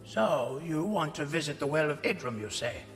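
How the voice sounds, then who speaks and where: Old game chieftain: gravelly, proud, ceremonious, in long hall reverb. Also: male voice, on the high side, expressive, normal speed, faint music behind. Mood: proud, stern. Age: older. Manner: solemn, ceremonious. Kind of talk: video game cutscene acting.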